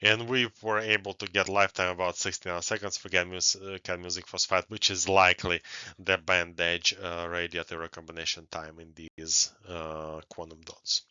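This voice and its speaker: A middle-aged man gives a technical conference lecture in a low, clear and bright voice. He speaks haltingly, pausing often as he explains. The headset recording is close and dry, though somewhat dull. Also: little pitch movement, dry recording. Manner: halting, frequent hesitations and pauses. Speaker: academic researcher presenting. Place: indoors, recorded online presentation. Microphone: headset on a video call. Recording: dull, band-limited headset audio.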